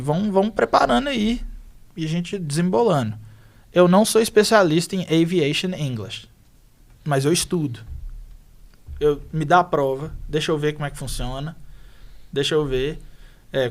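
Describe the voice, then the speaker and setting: A young man who teaches English, a guest on a podcast, telling stories in animated, slangy casual chat. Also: high voice, gravelly.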